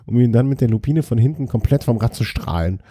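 Gravelly, dark-voiced man chatting casually. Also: medium pitch, lively intonation, fast talker, no room sound, studio quality. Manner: joking, rambling with many restarts. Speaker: podcast co-host. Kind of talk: casual chat.

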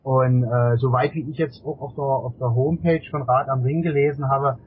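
Husky, halting man in casual interview. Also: medium pitch, fairly even intonation. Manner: halting, repeats words while searching for phrasing. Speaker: interview guest, amateur endurance cyclist. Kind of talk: casual conversational interview answers. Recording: dull, lo-fi interview audio.

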